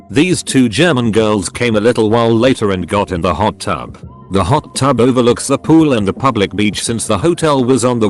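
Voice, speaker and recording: A man with a fairly low voice narrates story after story, his pitch rising and falling in an animated, expressive way. It is a scripted read of online posts. Soft music plays faintly underneath.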